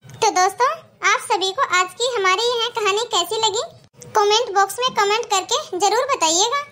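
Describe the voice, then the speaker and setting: A woman's voice: very high voice, moderate pace, sharp tone. A woman narrating the moral of a story to viewers in an earnest, advisory manner.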